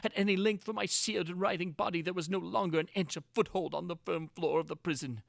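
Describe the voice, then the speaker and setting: A man's voice: high-pitched, fast, creaky, no echo, studio quality. A man narrating gothic horror as a dramatic reading, with an intense theatrical delivery. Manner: theatrical, intense delivery with big swings from hushed to crying out.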